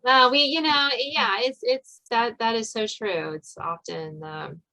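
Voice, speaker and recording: Woman podcast host chatting casually, kinda high bright voice. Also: measured pace, low-quality recording. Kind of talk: casual interview chat.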